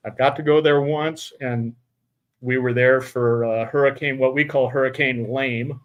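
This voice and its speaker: Halting middle-aged male podcast host. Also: medium pitch, fairly even intonation, phone-quality sound. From Utah. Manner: halting, many fillers and restarts. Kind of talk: casual interview chat.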